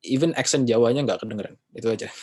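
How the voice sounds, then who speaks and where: A man's voice: medium pitch, fairly quick, a little mellow, slightly rough. A man giving a casual class talk, rambling and often correcting himself with chatty fillers. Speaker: English class speaker.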